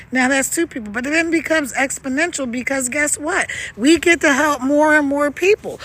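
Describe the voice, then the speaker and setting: Gravelly, high-voiced woman in her sixties. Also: bright, forceful tone. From United States. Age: 60s. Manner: upbeat and pushy, coaxing viewers. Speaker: online marketing coach. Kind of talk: casual livestream walking outdoors. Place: outdoors on a mountain road at night.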